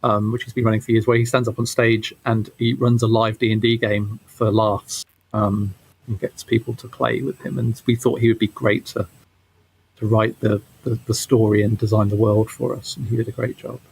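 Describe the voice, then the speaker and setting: A man giving casual interview answers as a game developer, halting and often repeating his words. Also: lowish voice, little pitch movement, creaky, a little hiss or hum, rough recording.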